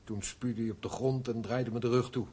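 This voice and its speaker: A man playing an older clergyman, grave, kindly and measured, moralizing with concern in a radio play. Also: mid-range voice, steady tone, dark tone, slightly rough, lightly acted. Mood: disapproving.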